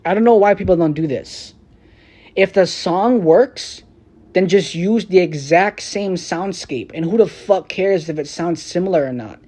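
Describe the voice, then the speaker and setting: A man's voice: measured pace, dark tone. Young man ranting profanely on stream. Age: young adult. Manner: profane, frustrated, rambling; swings between low mutters and raised outbursts. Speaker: streamer and rapper. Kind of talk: rambling live-stream rant.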